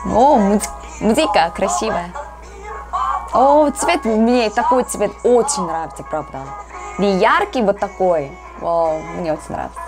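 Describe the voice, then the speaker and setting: A woman in her thirties from South Korea with a Korean accent, giving casual reaction commentary in halting, simple phrases of a second language. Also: medium pitch, animated. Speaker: reaction vlogger. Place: small room at home, indoors. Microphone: lapel mic. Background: film soundtrack music.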